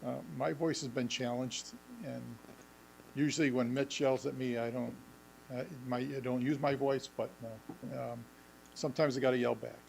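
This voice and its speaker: A man presenting a facilities update at a school board meeting, halting with many ums and restarts, and losing his voice. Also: mid-range voice, fairly even intonation, gravelly, slight background noise, poor audio. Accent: Western New York. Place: school administration meeting room.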